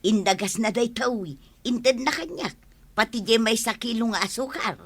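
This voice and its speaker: A woman's voice: a little high, moderate pace, husky. A woman with an Ilocano accent playing a talkative, good-natured village woman in a radio comedy drama. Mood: pleased.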